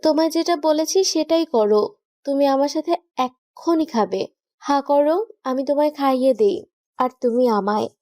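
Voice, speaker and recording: A woman's voice: sits high, moderate pace, a little mellow, no echo, studio quality, natural voice. A woman playing an anxious, affectionately bossy young woman in love in a romantic audio story, pleading and insistent.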